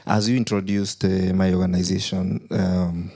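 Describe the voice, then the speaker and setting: A man's voice: deep voice, level tone, warm tone. A man with a Kenyan accent speaking as a company CEO on a conference panel, in formal remarks broken by frequent "uh" fillers. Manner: halting, heavy with "uh" fillers.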